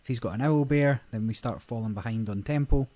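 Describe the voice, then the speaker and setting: A man hosting a gaming channel, talking through a card game in a relaxed, rambling way, his voice a little croaky. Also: mid-range voice, brisk. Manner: relaxed, rambling, many fillers. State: slightly croaky voice. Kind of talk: casual gameplay commentary.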